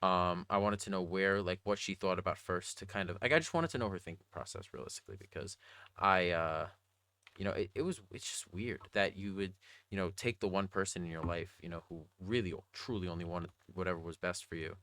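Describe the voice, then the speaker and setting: A young adult man with a slightly raspy voice, talking casually and earnestly in a rambling, slangy video diary. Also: low-pitched, fairly quick, a bit bright, dry recording. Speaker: vlogger.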